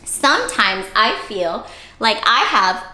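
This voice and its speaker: A woman's voice: a little high, big pitch swings, slow pace, sharp tone, roomy sound, rough recording. A woman teacher hosting an interactive read-aloud for young children, with exaggerated, playful, child-directed delivery. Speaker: teacher and story-time host for young children.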